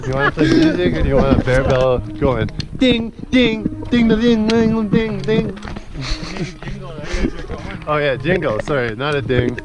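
Young man, hiking vlogger, joking and laughing, action camera at arm's length, forest creek noise. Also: a little high. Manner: laid-back, laughing, joking. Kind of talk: casual hiking vlog. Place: outdoors on a forest trail by a creek. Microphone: action camera held at arm's length. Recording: loud outdoor noise on camera mic.

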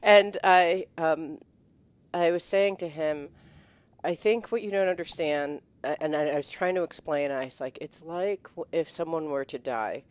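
Middle-aged woman, guest on a video call, headset mic, emotional and animated, frequent laughs and restarts. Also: low-pitched, normal speed. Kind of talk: casual chat. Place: home room, indoors.

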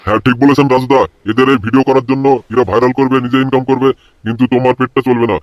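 A man's voice: medium pitch, flat delivery, racing, dry recording, lightly acted. A man voicing an impatient, foul-mouthed queue customer in a comedy cartoon. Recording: dull lo-fi dry voice track.

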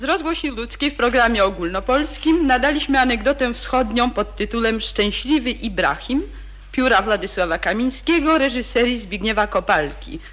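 A woman's voice: fairly high pitch, a bit bright. A woman reading a formal radio broadcast credit announcement.

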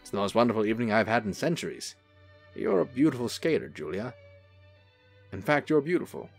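An actor playing a charming, unruffled angel in a radio-style drama, gently teasing as he talks. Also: medium pitch, sing-song, brisk, creaky, slightly rough, faint music behind, heavily acted. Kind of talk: radio-play style acting.

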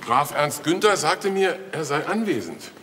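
Casting: wry, suspicious TV police detective, laconic but lively in intonation, over noisy soundtrack. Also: male voice, on the high side, a little slow. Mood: politely probing. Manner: dry, laconic, probing questions. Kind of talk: crime drama acting.

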